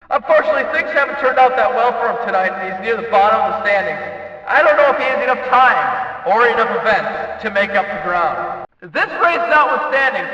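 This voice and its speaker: A race announcer for a racing video game, with a very high, piercingly bright voice. He delivers punchy, opinionated stock-car commentary with excitable emphasis. The sound is heavily echoed, like a distant public-address system. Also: lo-fi sound, exaggerated voice.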